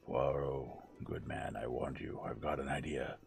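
A man doing a gruff, clipped colonel. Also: very deep voice, flat delivery, unhurried, darkish tone, faint music behind, heavily acted. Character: brusque British army colonel, middle-aged man.

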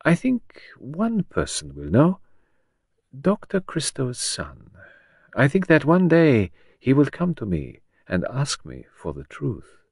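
A man doing a gentle detective. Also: mid-range voice, wildly swooping pitch, slow pace, slightly rough, dry recording, studio quality, pitched above the performer's own voice, full character voice. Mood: earnest. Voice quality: precise, formal phrasing. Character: fussy foreign male detective, gentle, weary. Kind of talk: audiobook character voice.

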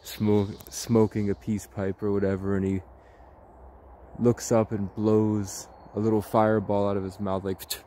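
A man in his twenties giving a slow, rambling monologue in short, halting phrases while walking outdoors. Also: lowish voice, flat delivery, mellow, a little hiss or hum. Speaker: vlogger. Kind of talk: slow rambling monologue, ASMR-style walk.

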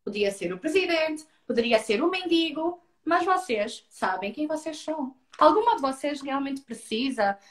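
A woman's voice: high-pitched, moderate pace, hardly any pauses. Frank Angolan woman on a roomy call. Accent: Angolan Portuguese, slightly Brazilian-tinged. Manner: frank, animated, repeats words for emphasis. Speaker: guest, former beauty queen. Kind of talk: casual live video-call interview. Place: indoors, seated before a stone wall. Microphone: video call from a laptop. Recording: roomy video call audio.

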